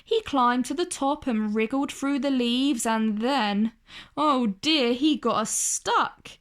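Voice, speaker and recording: A woman storyteller reading a picture-book tale aloud for children in an expressive narrating style. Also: high voice, slow pace.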